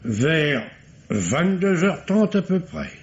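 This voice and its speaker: An actor playing a solemn, wordy male doctor in a vintage radio mystery, with pompous, deliberate, literary delivery. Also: fairly high pitch, big pitch swings. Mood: grave, precise. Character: formal, verbose, solemn male country doctor. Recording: dull, noisy old radio recording.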